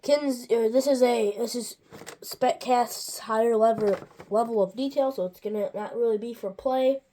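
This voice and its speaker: Stuffy-nosed woman with a mellow voice. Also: on the high side, fairly even intonation, moderate pace, a little hiss or hum. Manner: rambling, with many asides and restarts. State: stuffy nose. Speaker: farm toy channel host. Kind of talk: casual toy review.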